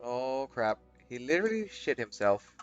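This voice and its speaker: A man's voice: mid-range voice, very animated, moderate pace. A man giving casual, crude, exclamatory reactions as commentary over a video game. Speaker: gaming video creator. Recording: dull lo-fi mic over game music.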